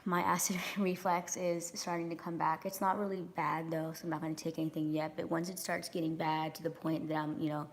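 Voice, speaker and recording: A young woman with a hoarse, raspy voice from a sore throat talks casually straight into a phone held at arm's length as she wanders through her house. She rambles with plenty of ums and likes, swears freely, and sits a little low for a woman. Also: level tone, a little fast, hardly any pauses, a little hiss or hum. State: hoarse from acid reflux. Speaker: vlogger. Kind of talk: casual vlog rant. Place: large home kitchen and rooms, indoors. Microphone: phone camera held at arm's length, walking around.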